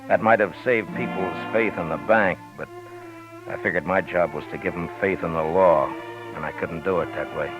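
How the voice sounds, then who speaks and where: A man playing a stern, deadpan American frontier marshal in a 1950s radio western, both in dialogue and as narrator. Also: deep voice, moderate pace, natural voice. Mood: grave narrating. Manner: laconic, deadpan. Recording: dull 1950s radio recording, music behind.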